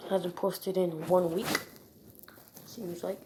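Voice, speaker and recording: A woman's voice: on the low side, little pitch movement, takes it slowly. A woman giving casual, unscripted reaction commentary in a hesitant, mumbling, offhand way, as a video creator. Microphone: phone or device mic during screen recording. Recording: noisy home screen-recording audio with clicks.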